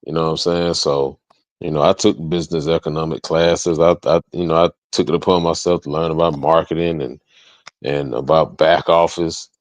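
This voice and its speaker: A man's voice: rumbling low, little pitch movement, normal speed, no echo. A man speaking casually as a music artist guest in an interview, motivational, emphatic and repetitive, with slangy tag questions.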